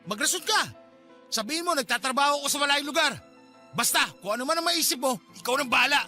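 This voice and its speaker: A man's voice: extremely high pitch, fast, sharp tone, soft background music, exaggerated voice. A man playing an irritable, dismissive young father in a radio drama, speaking curtly and impatiently. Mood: irritated, dismissive. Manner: curt, impatient. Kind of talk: Filipino radio drama acting.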